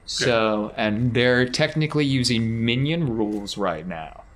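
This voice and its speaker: A man's voice: medium pitch, lively intonation, unhurried, fairly bright tone, slight background noise. A man acting as game master, explaining rules casually and encouraging the players. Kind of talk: running a tabletop game, casual.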